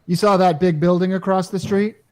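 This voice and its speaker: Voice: mid-range voice, fairly even intonation, a little fast, natural voice. A performer in a radio play acting a brash, exasperated salesman who talks in slangy, wisecracking sales patter. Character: brash, exasperated salesman named Caldwell, wisecracking working-class type.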